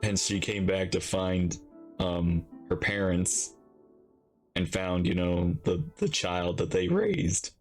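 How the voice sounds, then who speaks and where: A man giving casual live commentary as he reacts to a game, musing haltingly as he thinks aloud. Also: low-pitched, animated, faint music behind. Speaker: reaction video host.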